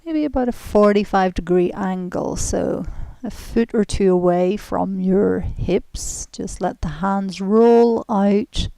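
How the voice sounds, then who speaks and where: A woman guides a relaxation session at a very slow, unhurried pace. Her voice is mellow and soft, turning breathy as phrases trail off. She is gentle and soothing, recorded close and dry. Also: medium pitch, no echo, low-quality recording. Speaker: guided relaxation and yoga instructor. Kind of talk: guided relaxation, meditative instruction.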